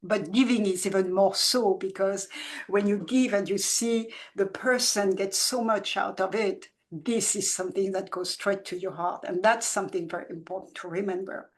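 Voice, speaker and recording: Soft-spoken woman in her seventies. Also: mid-range voice, fairly even intonation. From France. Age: 70s. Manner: soft-spoken, halting with many restarts. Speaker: children's book author, guest. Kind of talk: reflective conversational interview.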